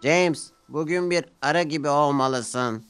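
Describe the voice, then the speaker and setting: Man doing an eager self-important little cartoon engine, raised pitch, slow bossy orders. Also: a bit bright, soft background music. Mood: proud. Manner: proud, bossy.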